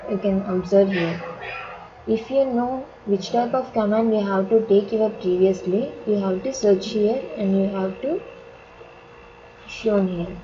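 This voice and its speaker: A woman software instructor with an Indian accent walks through steps in simple, repetitive phrasing. Her tone is relaxed and mellow. The recording is dull and lo-fi, with faint noise behind her. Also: medium pitch, unhurried. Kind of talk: step-by-step software tutorial narration.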